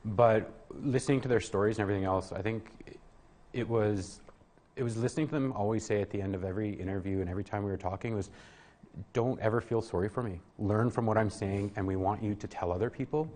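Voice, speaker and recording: A man's voice: lowish voice, fast talker, dark tone. A man answering interview questions earnestly, often restarting his sentences. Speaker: guest, program worker.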